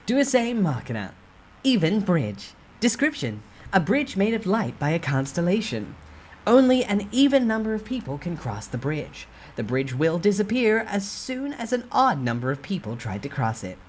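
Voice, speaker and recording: A man voicing a formal, impersonal game-system announcer in a storybook reading, measured and declarative. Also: high voice, huge pitch swings, voice pushed up, exaggerated voice. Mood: formal, announcing.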